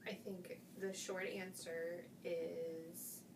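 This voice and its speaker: Slow, thoughtful woman from Indiana. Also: lowish voice, little pitch movement, echoey room. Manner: thoughtful, pausing with fillers. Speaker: interviewee. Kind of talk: reflective interview answers. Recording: distant mic with background noise.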